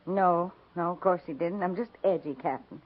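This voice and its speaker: An American woman playing a nervous, polite frontier wife with a husky voice in a 1950s radio western. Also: fairly low pitch, moderate pace, natural voice. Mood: edgy. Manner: nervous, apologetic, over-explaining. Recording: dull 1950s radio recording.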